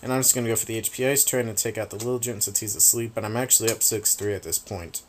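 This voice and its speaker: A man talks through a video game match in a loose, rambling way, tossing in casual swears. His voice sits a little low. It is turning raspy after a long stretch of talking. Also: steady tone, fast, slight background noise. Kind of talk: casual gameplay commentary.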